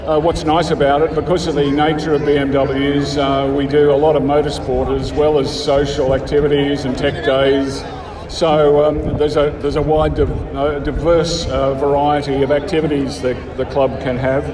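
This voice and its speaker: Club interviewee: rural Australian man, enthusiastic, halting delivery, slightly distant, crowd chatter behind. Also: fairly high pitch, flat delivery, roomy sound. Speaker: car club member being interviewed. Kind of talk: casual interview. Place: outdoors at a motor show.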